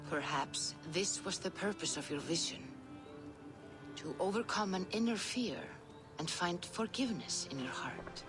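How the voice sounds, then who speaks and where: A calm Norse seeress in a video game drama. She speaks as a wise spiritual guide, measured and formal, gently probing. Clear music sits behind her voice. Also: fairly low pitch. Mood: reassuring, probing. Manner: measured, formal, attentive questioning.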